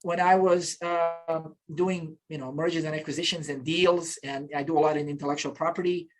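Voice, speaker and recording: A man in his fifties from Bosnia, telling his story as an interview guest in a relaxed, reflective way with frequent restarts. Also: a little high, moderate pace, rough recording. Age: 50s. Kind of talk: casual conversational storytelling.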